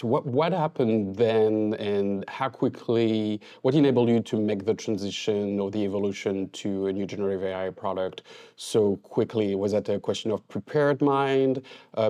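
Lowish soft dark voiced guy hosting a podcast, kinda halting as he asks stuff. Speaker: podcast host, interviewer. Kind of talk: conversational interview questioning.

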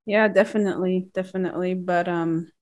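A woman's voice: fairly low pitch, steady tone, fairly quick, darkish tone. A woman chatting casually and informally as a podcast co-host. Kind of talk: casual chat.

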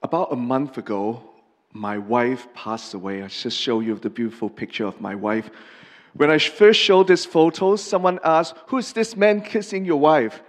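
Earnest halting pastor in a reverberant hall. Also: male voice, mid-range voice, lively intonation. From Hong Kong. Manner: earnest and halting, with many pauses and restarts. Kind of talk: personal testimony sermon. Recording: long reverb of a large hall.

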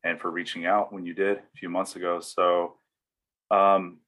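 A man, speaking plainly as an interview guest, with frequent pauses and fillers. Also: sits low, flat delivery, a bit bright. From East Tennessee, US. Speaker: interview guest, church security veteran. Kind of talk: casual storytelling interview answers.

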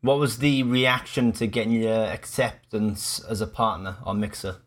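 Upbeat Welsh podcast host. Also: male voice, lowish voice, fairly even intonation, moderate pace. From Wales. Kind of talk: casual podcast chat.

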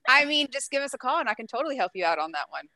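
Female podcast host, slightly high bright voice, chatty and informal, close dry sound. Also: big pitch swings, rapid-fire, dry recording. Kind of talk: podcast interview.

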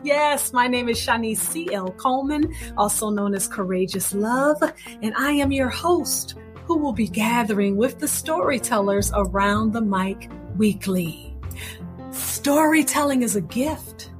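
A woman's voice: a little high, lively intonation, measured pace. A woman hosting a radio show, reading a scripted introduction with emphasis and addressing listeners directly. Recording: music behind the voice. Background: music.

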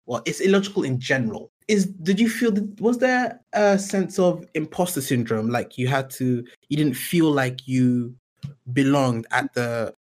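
A man hosting a podcast in a conversational interview style. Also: mid-range voice. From Britain. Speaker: podcast host.

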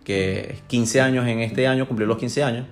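Venezuelan man, mid-pitched, casually recounting. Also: fairly even intonation, normal speed, slight background noise. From Maracaibo, Venezuela. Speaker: tattoo client being interviewed.

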